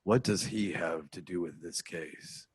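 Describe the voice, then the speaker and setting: Stern caped crusader, low voice, husky, dry close sound, radio play. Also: male voice, measured pace, dry recording. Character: stern, measured adult male caped crime fighter. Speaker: voice actor in an online radio play.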